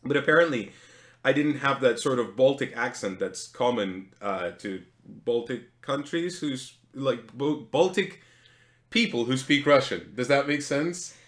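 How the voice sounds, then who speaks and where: A man's voice: medium pitch, animated, measured pace, fairly bright tone. Man, native Lithuanian speaker, rambling self-deprecating chat, roomy echoey sound. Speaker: co-host. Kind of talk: casual livestream chat.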